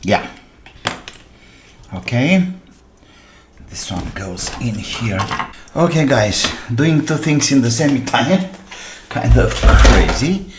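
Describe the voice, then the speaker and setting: A man who speaks English as a second language, giving casual hands-on repair commentary as a channel host, haltingly thinking aloud while he works. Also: medium pitch, sing-song, noisy background. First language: non-native English speaker.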